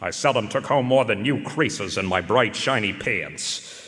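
An actor playing a weary, wry private eye in a noir radio drama, narrating and wisecracking in a deadpan, world-weary way. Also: male voice, fairly low pitch, a little slow. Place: large performance hall stage. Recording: echoey concert hall, live stage broadcast.

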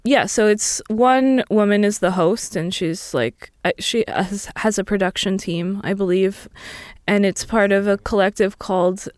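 A woman in her early thirties chatting casually as an interview guest, explaining things in a thoughtful, halting way. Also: medium pitch, no echo, studio quality. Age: early 30s. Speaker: podcast guest.

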